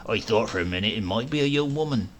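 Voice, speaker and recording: A man's voice: fairly low pitch, lively intonation, quick pace, natural voice. Man putting on a cantankerous old English rustic. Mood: brazen, unbothered. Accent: rural English. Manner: blustering dialect protests. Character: cantankerous old rustic great-uncle, blasphemous, defiant, roguish.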